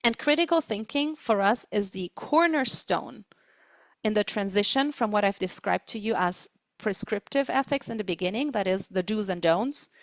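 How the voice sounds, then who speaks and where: Woman presenting, deliberate, dry close sound. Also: mid-range voice, expressive, dry recording. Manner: deliberate, stresses key words. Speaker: webinar presenter, interpreting trainer. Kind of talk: explanatory webinar lecture.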